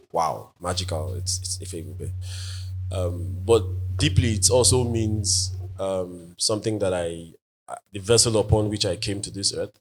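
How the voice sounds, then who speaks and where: A Nigerian man in his forties whose first language is Igbo, answering panel questions as an artist in a thoughtful, halting way, with many fillers and restarts. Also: low-pitched.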